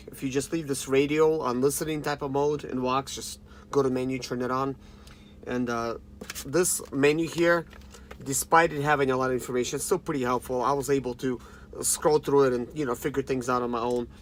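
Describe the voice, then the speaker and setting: A man in his thirties giving a casual, unscripted product review in an informal, halting way, talking straight to his viewers. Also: mid-range voice, a bit bright. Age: 30s. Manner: informal, halting, often addressing viewers directly. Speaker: review channel host. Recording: clearly noisy background ambience.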